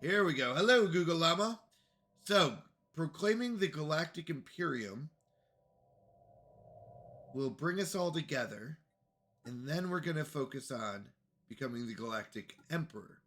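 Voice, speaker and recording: A man's voice: fairly high pitch, animated, unhurried, pauses often, a bit bright. A man with a congested voice chatting casually to viewers while playing a strategy game on a live stream. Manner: chatty, greets chat viewers.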